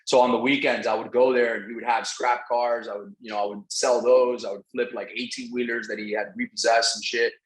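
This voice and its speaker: A man's voice: medium pitch, level tone, a little fast, few pauses. A man whose first language is Spanish, telling his story as a casual, slangy podcast guest who swears freely. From Venezuela. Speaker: podcast guest, real estate entrepreneur. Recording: roomy, echoing sound.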